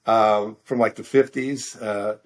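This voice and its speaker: A middle-aged American man answering questions in a casual interview, halting and self-deprecating as he searches for his words. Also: fairly low pitch, fairly even intonation. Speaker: author interview guest.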